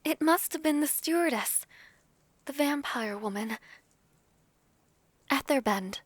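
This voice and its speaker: A woman playing an ailing, earnest young dragon-blooded noblewoman in a fantasy audio drama, speaking formally and haltingly. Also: high voice, lively intonation, no room sound. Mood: earnest, curious.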